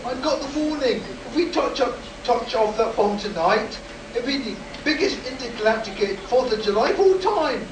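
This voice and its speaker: A performer in a live radio play as a desperate, overwrought male test pilot, urgent and halting. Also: high voice. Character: desperate test pilot man warning of doom. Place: convention hall stage, live performance. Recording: roomy distant live stage recording, noisy.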